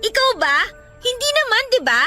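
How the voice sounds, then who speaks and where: A woman's voice: extremely high pitch, sing-song, normal speed, pushed and bright, exaggerated voice. A woman playing a defensive, resentful student snapping sarcastically at a best friend in a radio drama. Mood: defensive, sarcastic. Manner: sarcastic, confrontational. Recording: music bed under the voice.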